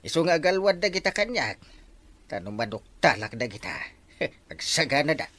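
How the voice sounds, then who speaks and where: A man with an Ilocano accent playing an excitable, scheming village captain in a comedy drama. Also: a little high, normal speed. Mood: suspicious.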